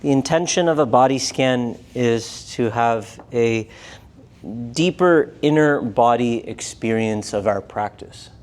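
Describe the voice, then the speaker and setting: A man teaching a live yoga and meditation class, speaking gently in short, unhurried phrases with pauses between them. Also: medium pitch. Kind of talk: guided meditation class instruction.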